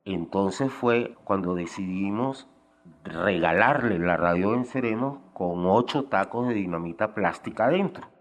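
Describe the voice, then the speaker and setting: A man's voice: fairly low pitch, expressive, unhurried. An older man telling anecdotes casually in an interview, with salty, colloquial language. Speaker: former guerrilla radio member giving testimony.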